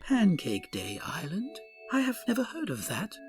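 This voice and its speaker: A man's voice: high-pitched, wildly swooping pitch, a little slow, soft background music, full character voice. A man playing a pompous starship captain. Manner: pompous, grandiose. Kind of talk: comic audio drama.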